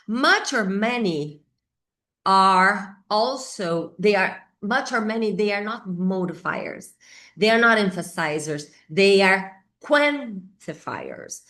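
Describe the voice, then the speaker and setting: A middle-aged Brazilian woman, a native Portuguese speaker, teaches English grammar informally with a Brazilian accent, thinking aloud haltingly and repeating key words. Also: medium pitch, fairly bright tone. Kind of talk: informal whiteboard grammar lesson.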